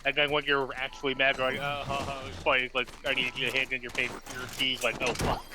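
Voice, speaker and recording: A man's voice: mid-range voice, animated, brisk. Teasing man in casual chat. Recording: noisy stream audio.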